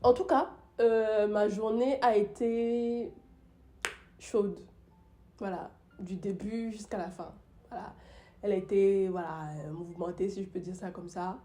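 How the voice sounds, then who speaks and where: A woman's voice: medium pitch, fairly even intonation, a little slow, warm tone, faint music behind. A young woman with the flu tells a story about her day in a casual vlog, with many fillers and restarts. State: sick with the flu. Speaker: YouTuber.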